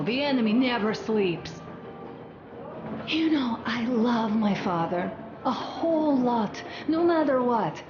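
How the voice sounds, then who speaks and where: Game casting: earnest young survivor woman, highish voice, intimate and emphatic delivery, unhurried; roomy with ambient noise. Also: dull audio. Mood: tender, relieved. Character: earnest young woman confiding doubts in a post-apocalyptic world. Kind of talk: video game dramatic monologue.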